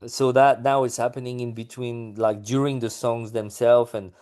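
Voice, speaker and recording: A man's voice: mid-range voice, little pitch movement, moderate pace, a little mellow, clear, resonant tone. A man being interviewed about his music, talking casually with many fillers and restarts.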